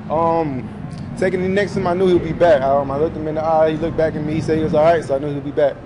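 A young man, a college football player, answering reporters in a press room with short, low-key replies full of fillers. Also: steady tone, fast talker, noisy background. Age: young adult. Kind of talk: post-game press conference answers. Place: press room in front of a sponsor backdrop. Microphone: table mics in front of him.

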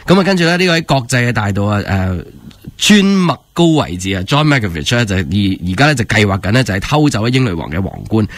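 香港男主持，聲線偏低，語速快. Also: male voice, lowish voice, big pitch swings, quick pace, no echo. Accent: Hong Kong Cantonese. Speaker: radio host. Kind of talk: casual radio chat, rambling explanation. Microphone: close studio microphone.

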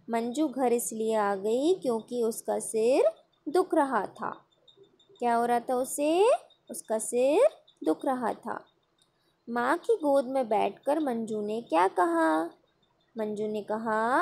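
A woman schoolteacher with a high voice talks at an unhurried pace. She poses a question to her pupils and then answers it herself, step by step, in a patient classroom manner. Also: vocal fry, a little mellow. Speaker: school teacher. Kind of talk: recorded online class over worksheet.

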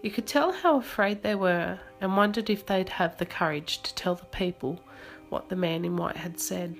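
A woman reading a scripted guided meditation in a measured, soothing manner. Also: fairly low pitch, vocal fry. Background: soft music.

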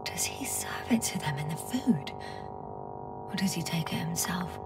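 A woman's voice: low voice, wildly swooping pitch, normal speed, creaky, recorded from a distance, over loud music, heavily acted. An actress playing a weary, resourceful female space scientist in a video game, speaking naturally and sometimes muttering to herself. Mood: hushed, puzzled.

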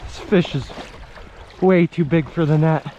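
A man's voice: a little high, unhurried, lo-fi sound. A young man talking casually to his action camera while fly fishing outdoors by a winter creek. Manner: excited, breathless while landing a fish. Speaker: fishing vlogger. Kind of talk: casual outdoor vlog commentary. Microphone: action camera, chest and arm's length. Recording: faint stream noise outdoors. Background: flowing stream water.

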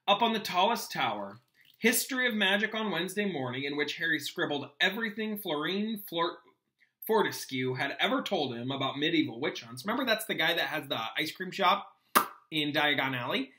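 Young male teacher reading aloud to students, bright and expressive delivery, pausing to explain. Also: a little high. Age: young adult. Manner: expressive, acts out dialogue. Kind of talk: storybook read-aloud with plain explanatory asides. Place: small home room, indoors.

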